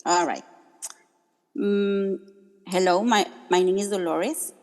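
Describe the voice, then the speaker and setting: A woman from Mexico whose first language is Spanish, asking a question slowly in careful non-native English on a video call. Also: mid-range voice, steady tone, darkish tone, in a large hall.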